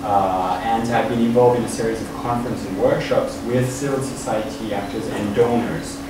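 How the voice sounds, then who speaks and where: A man's voice: medium pitch, fairly even intonation. Swiss male academic in an echoey room. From Switzerland. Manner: halting, searching for words. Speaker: academic guest speaker. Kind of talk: informal conference talk, improvised from notes. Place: university room. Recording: echoey room, distant mic, noisy.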